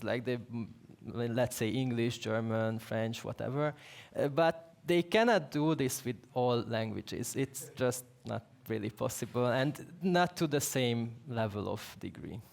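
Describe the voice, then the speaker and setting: A man co-speaker answering an audience question in a confident, casual way. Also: medium pitch. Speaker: conference speaker. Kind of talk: tech talk Q&A answers.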